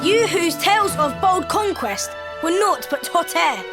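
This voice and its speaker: A woman's voice: very high voice, expressive, a little slow, music in the background, exaggerated voice. A woman voicing a fiery, accusing young boy in a video game cutscene, with theatrical, declamatory delivery. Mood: accusing, scornful.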